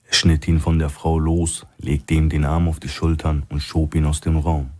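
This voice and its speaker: A man's voice: very deep voice, flat delivery, normal speed, warm tone. A man reading a crime thriller audiobook as a scripted narration.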